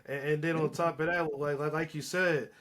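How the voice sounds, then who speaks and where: A man's voice: a little high, fairly even intonation, normal speed, a bit bright. A man in a casual, opinionated podcast chat, speaking in an emphatic, slangy way.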